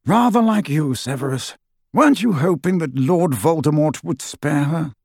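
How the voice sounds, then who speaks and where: Audiobook role: cool, grave old wizard man performing, reproving yet composed, breathy-rough voice in a dry booth. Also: fairly high pitch, expressive, dry recording, voice pushed up, heavily acted. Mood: cold reproach. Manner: measured, cool, gently reproving. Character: calm, stern, wise old male headmaster wizard.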